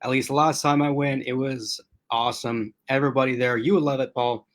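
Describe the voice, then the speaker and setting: A man's voice: medium pitch, fairly even intonation, brisk. Chatty man losing his voice. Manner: chatty and rambling, addressing chat commenters. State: losing his voice, clearing throat. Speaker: host. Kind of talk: casual livestream chat.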